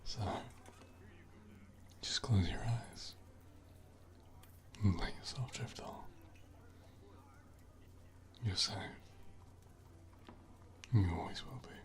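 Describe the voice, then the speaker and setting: A man playing a flirty, protective assassin in an intimate ASMR roleplay, speaking gently and often dropping into a soothing whisper. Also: lowish voice, very slow, pauses often.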